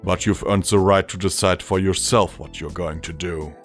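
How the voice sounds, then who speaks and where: A man voicing a weary, caring father pony in a storybook, speaking in a measured, quiet, gentle way. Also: sits low, fairly even intonation, soft background music, natural voice. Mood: gentle, serious.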